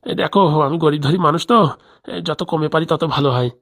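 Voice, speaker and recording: A woman's voice: low-pitched, fast, a bit bright. A woman voicing a crooked, bossy male village boss in a comedy cartoon, scheming aloud with a rural Bengali accent. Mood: scheming, affable.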